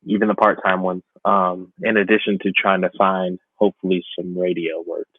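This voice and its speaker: A man's voice: low voice, level tone, moderate pace, no room sound. A man in his twenties co-hosting a podcast, chatting casually in a chummy, self-deprecating way. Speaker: podcast co-host. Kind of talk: casual chat. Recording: tinny phone-like line, dry.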